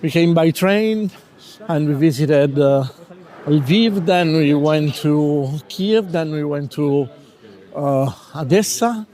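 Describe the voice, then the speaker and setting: Hesitant man, slightly high. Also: takes it slowly, warm tone. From Italy. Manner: hesitant, many fillers and restarts. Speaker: magazine editor. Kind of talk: casual interview.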